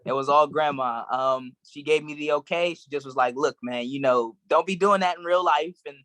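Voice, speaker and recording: A young man from Maryland answering interview questions in a relaxed, rambling way with plenty of fillers. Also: fairly high pitch, moderate pace, dry recording. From Maryland, USA. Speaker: guest actor.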